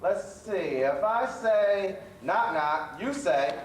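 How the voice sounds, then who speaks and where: A jovial doctor of fun played by a man with a very high male voice. He hosts children's stage storytelling a little haltingly, distant in a roomy space. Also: lively intonation. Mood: genial. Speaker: host. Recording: roomy, distant stage recording with faint noise.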